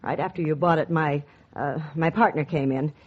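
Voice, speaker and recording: A woman's voice: sits low, normal speed. An actress playing a tough, scheming young female art dealer, blunt and hard-edged, in a 1940s radio noir drama. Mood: guarded, bargaining. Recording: muffled vintage 1940s radio recording.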